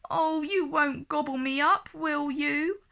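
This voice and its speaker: Lady doing a young princess from a kids storybook, high voice, prim n dramatic, bit plaintive. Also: slow pace, gravelly, bright, forceful tone, full character voice. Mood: alarmed. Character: young princess, dramatic, firm, crafty damsel. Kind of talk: children's storybook character voice.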